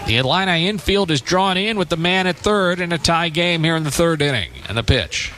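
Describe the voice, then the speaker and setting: Higher-voiced man calling baseball, noisy outdoor ambience. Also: animated, bright, forceful tone. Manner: clipped short phrases between measured descriptions. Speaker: play-by-play baseball announcer. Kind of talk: live radio sports commentary.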